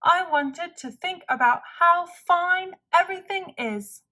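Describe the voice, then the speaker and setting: Woman voicing a high, contented storybook frog. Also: bright, forceful tone, full character voice. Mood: happy, reassuring. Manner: earnest, reassuring, unhurried. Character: contented, gentle male frog, thoughtful friend.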